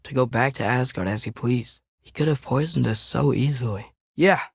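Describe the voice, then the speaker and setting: A man's voice: mid-range voice, normal speed, creaky, husky, no echo, studio quality. A young man voicing an earnest, curious teenage boy in a video game, speaking naturally and conversationally. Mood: serious, reflective.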